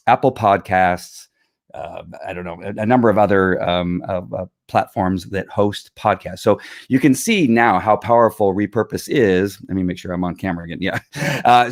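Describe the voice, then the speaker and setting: A middle-aged man hosting a live video show, walking through a screen-share tutorial in a chatty, rambling way with lots of fillers, recorded on a boom mic in a small home studio. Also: dry recording. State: recovering from losing his voice. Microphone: studio mic on boom arm.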